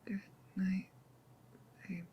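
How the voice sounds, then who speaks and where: A woman playing a tender, nervous girlfriend turning vampire in an ASMR audio roleplay, speaking in a breathy, hesitant, often whispered voice. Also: muffled recording, a little hiss or hum, close to an everyday voice. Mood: drowsy, fading. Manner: hesitant, halting, soft-spoken.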